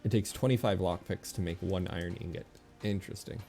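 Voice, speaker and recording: A man with a very deep voice and a fair amount of fry talks through a game as he plays. He is casual and relaxed, muttering his decisions aloud over music. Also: brisk. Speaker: video game streamer. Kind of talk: casual gameplay commentary, thinking aloud.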